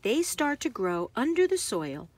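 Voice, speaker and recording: A woman reading a children's book aloud with careful, expressive phrasing for young listeners. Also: a little high, unhurried, a little hiss or hum.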